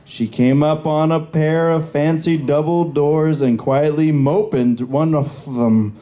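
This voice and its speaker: A man's voice: on the high side, steady tone, slow pace, dark tone. A man reading a story aloud as a reader on a live convention panel.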